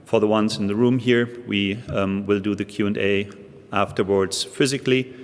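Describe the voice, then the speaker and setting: A man's voice: fairly low pitch, steady tone, normal speed. A man hosting at a conference, formally opening a session and handing over. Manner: formal, brief. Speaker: conference host. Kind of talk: session introduction. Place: conference hall. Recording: reverberant hall.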